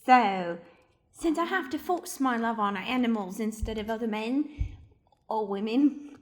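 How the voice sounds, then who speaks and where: A woman's voice: fairly high pitch, lively intonation, unhurried. A woman playing a lonely, flustered, melodramatic romance writer in an improvised radio play. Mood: meek, awkward. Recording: faint noise with clicks.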